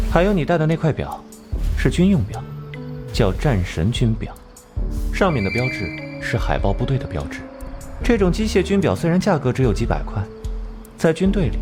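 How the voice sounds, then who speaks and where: An actor voicing a cold, aloof genius detective in an animated drama, lecturing with mocking detachment. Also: male voice, mid-range voice. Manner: mocking, lecturing, coolly rational. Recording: music behind the voice.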